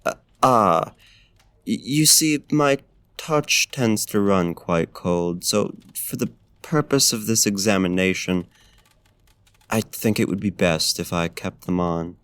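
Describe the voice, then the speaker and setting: A man voicing a courtly, smitten vampire in a soft-spoken ASMR roleplay, with formal, old-fashioned, genteel diction and a hushed, lingering delivery. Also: fairly low pitch, slow pace, smooth, no room sound. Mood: flustered, polite. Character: courtly, smitten male vampire tending a frostbitten guest. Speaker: ASMR voice actor.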